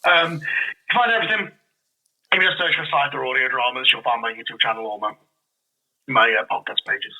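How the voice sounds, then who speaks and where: Man, medium pitch, very bright sharp tone, casual interview guest, halting self-correcting speech. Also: rough recording. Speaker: podcast guest, audio drama creator.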